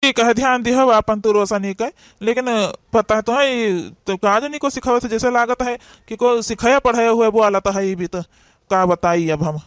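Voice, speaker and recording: A man's voice: very high-pitched, moderate pace, clean tone, no room sound, clean studio sound. A man with an Awadhi accent playing a worried, bewildered cartoon father, speaking in a plaintive, fretful way. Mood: baffled, concerned. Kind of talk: amateur comedy cartoon dialogue.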